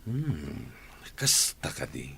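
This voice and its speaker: A man's voice: extremely low pitch, big pitch swings, slow, pushed and bright, heavily acted. A man acting an irritable, bullying company boss with an Ilocano accent in a radio comedy drama, stern and threatening. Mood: menacing, irritated. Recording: clearly noisy background.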